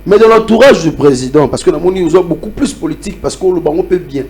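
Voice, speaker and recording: A man's voice: a little high, lively intonation, fast, darkish tone. A Congolese man with a Congolese accent, talking animatedly in a debate and teasing as he mixes French with Lingala. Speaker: debate participant. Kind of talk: lively debate talk.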